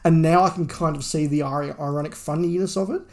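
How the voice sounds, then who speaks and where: A man's voice: fairly high pitch, fairly even intonation, a little fast, darkish tone. A man co-hosting a podcast, giving opinionated, emphatic views in a casual review discussion.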